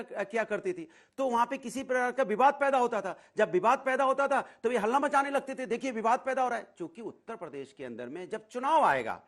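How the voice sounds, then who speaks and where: A man's voice: very high voice, a bit bright. A man arguing insistently as a party spokesman in a political TV debate, often repeating his phrases.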